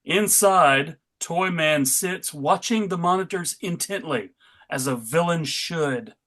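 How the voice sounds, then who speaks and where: A man narrating a live comic book read in a wry, deadpan way. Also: on the high side, slow.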